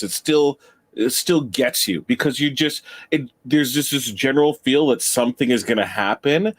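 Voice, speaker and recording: Measured man with a mid-range voice. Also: mid-range voice. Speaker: guest panelist. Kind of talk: casual debate about horror films.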